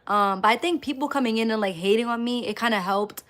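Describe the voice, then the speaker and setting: A woman's voice: a little high, fast talker, a bit bright. A woman chatting casually to viewers on a motivational livestream, speaking emphatically and repeating phrases for emphasis. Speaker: live streamer.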